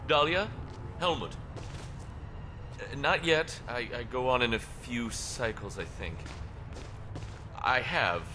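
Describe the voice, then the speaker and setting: A man's voice: mid-range voice, takes it slowly, pauses often, noisy background, natural voice. An actor playing an evasive, self-important male model, hesitant and offhand.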